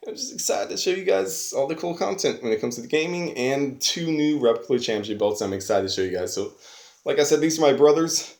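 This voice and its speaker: A man's voice: on the high side, lively intonation, fairly quick, darkish tone. A man giving a casual, unscripted video review, rambling enthusiastically in slangy everyday language, recorded on a phone. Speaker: online video host, reviewer. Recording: roomy echo on phone camera.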